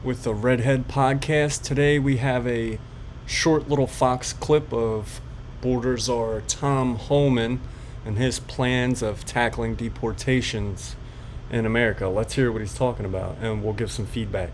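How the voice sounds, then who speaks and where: Male podcast host, medium pitch, informal halting commentary, noisy backdrop. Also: steady tone. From Florida resident of Puerto Rican background. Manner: informal, slangy, halting with pauses. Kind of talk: casual political commentary.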